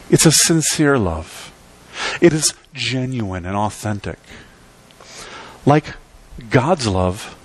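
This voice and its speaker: A middle-aged man preaching in a church, speaking from a lectern with emphatic, rhythmic delivery that swings between loud peaks and quiet drops. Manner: emphatic preaching with sweeping pitch and volume swings. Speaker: pastor. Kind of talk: sermon. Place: church sanctuary.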